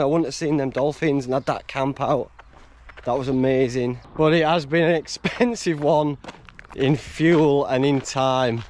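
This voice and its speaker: Tired, chatty hiker outdoors. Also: male voice, a little high, a little hiss or hum. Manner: chatty, laughs often, tired and rambling. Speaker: hiking vlogger. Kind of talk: casual vlog chat and map recap. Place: outdoors on a country road and moorland. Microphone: camera held at arm's length.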